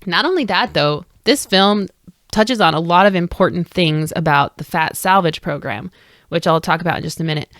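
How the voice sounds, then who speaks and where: A woman's voice: lowish voice, moderate pace, a bit bright, no echo, clean studio sound. A woman hosting a podcast, narrating casually and enthusiastically. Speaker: podcast host.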